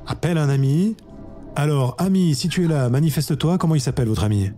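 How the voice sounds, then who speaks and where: Mellow-voiced man hosting a live quiz. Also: fairly high pitch, fast, faint music behind. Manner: relaxed, self-correcting, chatty. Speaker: quiz show host.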